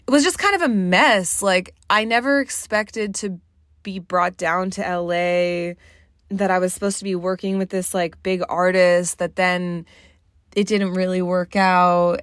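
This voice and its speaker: A woman's voice: lowish voice, fairly bright tone, smooth, dry recording, clean studio sound. A woman in her late twenties hosting her own podcast, talking alone in a candid, blunt way, swearing freely and saying "like" often. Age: late 20s. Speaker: podcast host. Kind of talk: solo podcast monologue.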